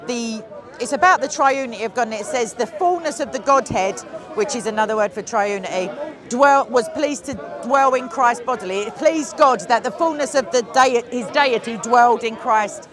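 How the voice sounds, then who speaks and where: A woman in her forties debating religion outdoors in a city park on a lapel mic, quick, assertive and wry. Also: fairly high pitch. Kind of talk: street debate. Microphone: clip-on lapel mic. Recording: crowd murmur behind.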